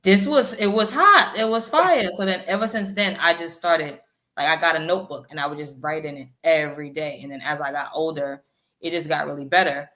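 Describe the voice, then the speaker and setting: Rapper guest, female, 30s, low pitch, lively storyteller. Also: fairly quick. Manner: animated, chatty storyteller. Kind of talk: interview storytelling.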